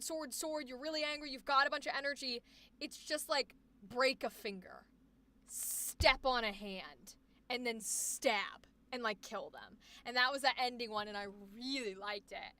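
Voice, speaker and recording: A woman coaching voice actors on a live stream, reacting with effusive exclamations and quick asides. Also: high-pitched, sharp tone. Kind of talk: live stream commentary on student recordings.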